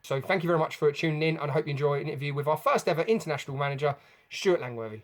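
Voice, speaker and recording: A man's voice: a little high, rapid-fire, heavy background noise. A man with an English accent hosting an interview podcast in a chatty, polite manner.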